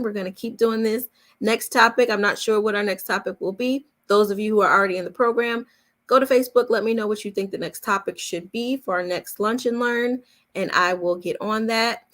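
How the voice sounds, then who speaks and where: Female workshop host and coach, heavy vocal fry, middling pitch, chatty and informal, talking straight to attendees. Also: fairly bright tone. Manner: chatty, informal, addressing the group directly. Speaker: voice-over coach hosting an online workshop. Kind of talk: casual live chat with attendees.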